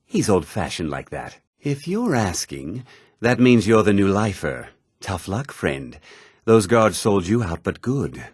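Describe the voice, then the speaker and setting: An actor voicing a haughty, gossipy, well-to-do townsman in a fantasy video game, speaking in a measured, theatrical way with a husky voice. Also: fairly low pitch, big pitch swings, darkish tone, no echo, full character voice. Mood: grim, confiding. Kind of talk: scripted fantasy game character dialogue.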